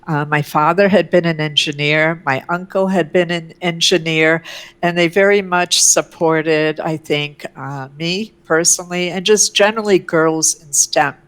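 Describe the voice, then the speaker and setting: Low-voiced woman, effusive guest. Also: steady tone, a little slow, a bit bright, smooth. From Canada and the US. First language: Ukrainian. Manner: effusive, enthusiastic, with frequent "uh" fillers. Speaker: interview guest, professor. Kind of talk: conversational interview. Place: a room at home with her oil paintings.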